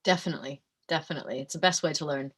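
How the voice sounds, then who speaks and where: A woman's voice: sits low, rapid-fire. Chatty woman. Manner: warm, laughs often, chatty. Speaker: guest voice actress. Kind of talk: casual chat.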